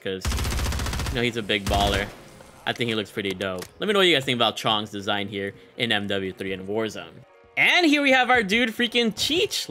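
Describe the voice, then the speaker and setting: A man's voice: medium pitch, pushed and bright. A man in his late twenties giving hyped, slangy, casual gameplay commentary as a YouTuber, close on the mic in a small room. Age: late 20s. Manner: hyped, slangy, chuckling streamer talk. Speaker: gaming YouTuber reviewing a bundle. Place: small indoor gaming room. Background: faint music.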